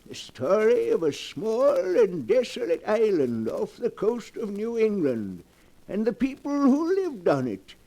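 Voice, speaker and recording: Mysterious radio horror host, dark-toned, very slow and theatrical, old broadcast recording. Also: male voice, high-pitched, huge pitch swings, full character voice, rough recording. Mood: ominous. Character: mysterious male keeper of a book of forbidden tales. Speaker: narrator. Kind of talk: 1940s radio horror narration.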